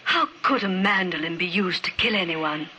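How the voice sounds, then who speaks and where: An actress playing an earnest, anxious married woman, halting and dramatic, in old radio drama style. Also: on the low side, lively intonation, bright, forceful tone. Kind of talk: 1950s radio drama acting. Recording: old radio recording, faint noise.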